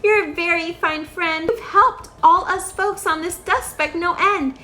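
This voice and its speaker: A woman's voice: very high-pitched, unhurried, pushed and bright, voice pushed up, exaggerated voice. A woman voicing a tiny, grateful storybook mayor with a piping, polite and effusive way of talking. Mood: grateful, cheerful. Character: tiny, grateful male mayor of a speck town.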